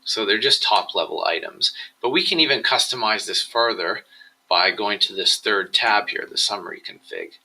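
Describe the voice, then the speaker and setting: A man presenting a software tutorial, explaining each step in a measured, instructional screencast style. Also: on the low side, lively intonation, rough recording.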